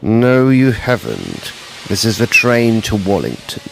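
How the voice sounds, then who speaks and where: Severe, reserved Edwardian gentleman, played by a man. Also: lowish voice, wildly swooping pitch, measured pace, gravelly, noisy background, exaggerated voice. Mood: stern, curt. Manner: curt, stiff, formal. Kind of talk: period audio drama dialogue.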